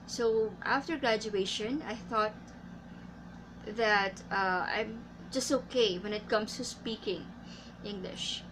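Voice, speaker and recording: A woman from the Philippines with a Filipino accent, an English teacher giving tips in a casual vlog, speaking carefully with many pauses and fillers. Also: medium pitch, noisy background. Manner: slow and careful, frequent uh fillers.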